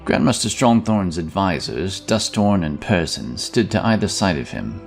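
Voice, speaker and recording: A man with a low, gravelly voice narrates a fantasy story. His delivery rises and falls expressively, and faint music plays behind him. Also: big pitch swings, normal speed. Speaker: audiobook narrator.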